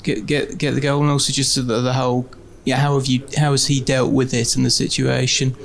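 A man's voice: mid-range voice, level tone, fairly quick, gravelly, slight background noise. A male reporter stumbling through a question to the manager at a press conference, repeating words as he goes.

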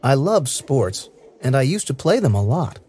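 A man reading short first-person lines slowly and clearly for English learners. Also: mid-range voice, big pitch swings, dark tone. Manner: clear, careful, evenly paced delivery. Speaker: English listening practice reader. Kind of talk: slow scripted reading for learners.

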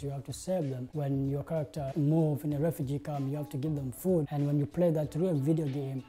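A man's voice: fairly high pitch, mellow. A young man tells his story to the camera in an earnest way, using many "uh" and "like" fillers. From South Sudan. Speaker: interviewee, game designer. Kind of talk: interview monologue to camera. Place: indoor studio with plain backdrop.

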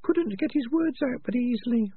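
A man voicing a stern young female rat in a storybook, in a high falsetto with a cool, pointed manner, recorded with a phone-like narrow sound. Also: expressive, brisk, husky, dry recording, heavily acted.

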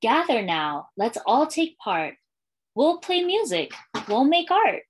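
A woman's voice: high-pitched, very animated, takes it slowly, slight background noise. A woman in her thirties, a school librarian, reading a picture book aloud to young children in a sing-song storytelling way. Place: small indoor room with bookshelves and curtain.

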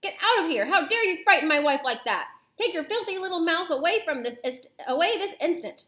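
A woman voicing a loud, irritable father in a children's storybook, full of indignant bluster. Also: fairly high pitch, lively intonation, brisk, lightly acted. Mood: furious. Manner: shouting, indignant, exclamatory. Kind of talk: children's storybook read-aloud.

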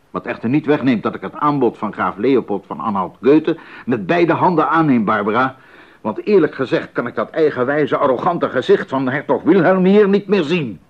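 Devout composer husband, earnest, lively intonation, husky, formal diction, dull old radio drama sound. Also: male voice, medium pitch. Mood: defiant. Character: Bach, earnest, devout, determined musician husband. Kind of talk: 1980s radio play acting. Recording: dull, muffled 1980s radio recording.

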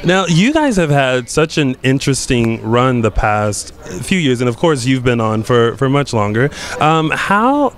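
A man in his thirties hosting an interview, chatty and eager and quick to agree, holding a handheld mic at an indoor event. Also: medium pitch, sing-song. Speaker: interviewer host. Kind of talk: casual interview chat.

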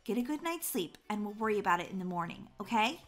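A woman voicing a calm, gently firm mother in an acted children's family story, speaking in a measured way. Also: mid-range voice, faint music behind, lightly acted.

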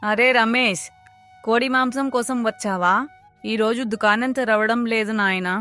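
A woman's voice: fairly high pitch, normal speed, pushed and bright, clear, resonant tone. A woman voicing a familiar, matter-of-fact village auntie in a cartoon story. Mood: informative. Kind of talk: animated moral story dialogue. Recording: music under the voice.

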